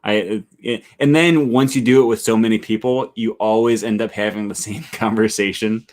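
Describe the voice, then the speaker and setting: A man's voice: fairly low pitch, moderate pace. Man hosting a casual interview. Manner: relaxed, hesitant with ums. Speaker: interviewer host.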